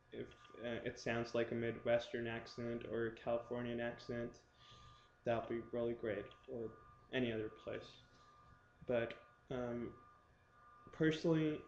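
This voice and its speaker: A young man talking casually to his viewers in a halting way, with many pauses and fillers. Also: medium pitch, reverberant, muffled recording, a little hiss or hum. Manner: slow, halting, many ums. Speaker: vlogger. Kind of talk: casual talk to camera.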